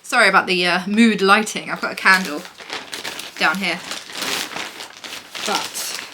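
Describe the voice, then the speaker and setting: Woman, 30s, casual vlogger, bright sharp tone, rambling chat. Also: mid-range voice, unhurried, a little hiss or hum. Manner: chatty, rambling, upbeat. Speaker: knitting vlogger. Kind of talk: casual chatty vlog.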